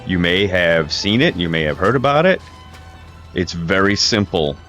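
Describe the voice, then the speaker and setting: A man with a low-pitched voice giving casual, rambling gameplay commentary, often restarting and correcting himself. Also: expressive, moderate pace, a bit bright, faint music behind. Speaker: gaming video creator. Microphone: home streaming mic with crackle.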